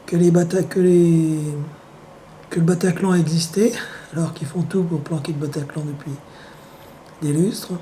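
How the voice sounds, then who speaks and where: Hoarse man with the flu, sardonic. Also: on the high side, fairly even intonation, moderate pace, long pauses, mellow, slight background noise. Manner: sardonic, wry, full of hesitations. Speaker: vlogger. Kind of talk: rambling casual monologue.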